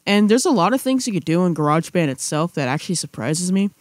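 A man's voice: sits high, lively intonation, quick pace, dry recording, studio quality. A young man chatting casually as a podcast co-host, rambling with restarts and fillers. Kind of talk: casual chat.

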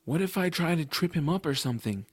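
A man's voice: a little high, lively intonation, fast talker, breathy edge, no echo, clean studio sound. A man playing an earnest, kind-hearted adventurous boy who narrates his own story in an audio drama. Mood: earnest, eager. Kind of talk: first-person audiobook narration with dialogue.

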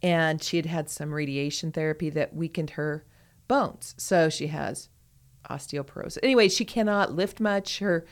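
A woman's voice: deep voice, moderate pace. A woman co-hosting a podcast in casual conversation, stressing points by repeating words. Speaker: podcast co-host.

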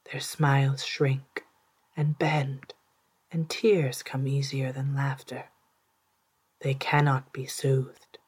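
A narrator with an androgynous, mid-pitched voice reads a literary story at a slow pace. The delivery is hushed and deliberate, with a soft, breathy edge and careful pauses between phrases. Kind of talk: scripted literary narration in second person, storytelling.

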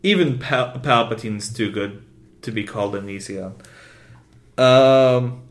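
Sweary, excitable male podcast co-host. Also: medium pitch, takes it slowly. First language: not English. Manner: swears constantly, rambling and excitable. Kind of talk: casual chat.

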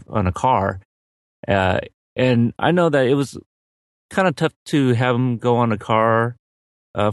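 A man's voice: on the low side, no echo, clean studio sound. A man whose second language is English, co-hosting a casual film discussion and hesitating with many fillers. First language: not English. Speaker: film podcast co-host.

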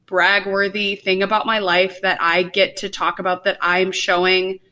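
A woman's voice: medium pitch, flat delivery, normal speed, pushed and bright. A young woman co-hosting a podcast in rambling casual chat, full of fillers, talking over a video call. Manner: rambling, lots of fillers and laughs. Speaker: podcast co-host.

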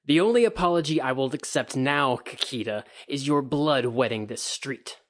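A man's voice: mid-range voice, moderate pace, natural voice. A man voicing a proud, drunk samurai who growls haughty threats. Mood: menacing.

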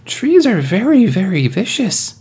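A man's voice: high voice, very animated, a little slow, a little mellow, husky, pitched above the performer's own voice, exaggerated voice. A man playing a bantering, coaxing starship captain in a tabletop roleplay, mock-earnest and given to dramatic emphasis. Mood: patient, coaxing.

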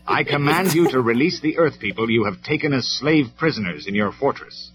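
A man's voice: mid-range voice, expressive, a little fast, fairly bright tone, voice pushed up, close to an everyday voice. A man voicing a noble robot commander in a storybook reading, speaking in a stern, formal, declamatory way. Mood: commanding. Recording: dull lo-fi recording with music.

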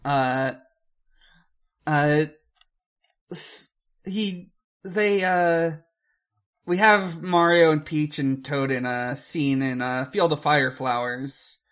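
A podcast co-host casually discussing a film in a halting, hesitant way, with casual swearing. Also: mid-range voice, fairly bright tone.

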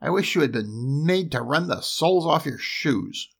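A man voicing an ungrateful, spiteful little dwarf from a storybook, in a shrill, exclamatory voice. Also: sing-song, moderate pace, gravelly, a bit bright, husky, full character voice. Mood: indignant, furious. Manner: scolding, exclamatory, pleading when afraid. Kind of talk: storybook character voice.

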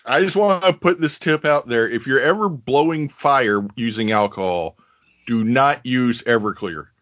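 A man's voice: medium pitch, animated, moderate pace, a bit bright, no room sound, lo-fi sound. A man co-hosting a podcast, recapping scenes in a dry, sarcastic and profane way. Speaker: podcast co-host. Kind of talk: casual chat, recapping a film.

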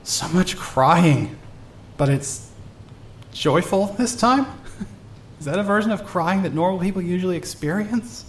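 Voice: medium pitch, expressive, unhurried, long pauses, a little hiss or hum, natural voice. A performer voicing June, an overwhelmed young girl who keeps laughing and crying as she comes out in a fan audio drama. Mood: relieved laughter. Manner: laughing and crying through her words.

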